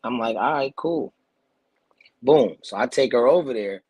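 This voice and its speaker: A man's voice: medium pitch, expressive, measured pace, a little mellow, breathy edge, no echo, highs cut off. A man from New Jersey, in his thirties, telling stories in a laid-back, slangy way on a video call interview. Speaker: guest actor. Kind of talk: casual storytelling interview.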